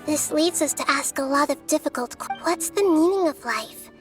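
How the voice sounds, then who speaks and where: A woman voicing a gentle, solemn young girl deity in a video game, speaking in a measured, earnest, formal way with music behind her. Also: high-pitched. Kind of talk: scripted video game dialogue.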